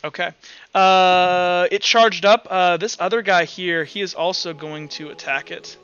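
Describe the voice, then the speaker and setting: A man's voice: high voice, steady tone, measured pace, fairly bright tone, clean tone, lo-fi sound. A man acting as game master, narrating a tabletop roleplaying game in a casual, slangy way.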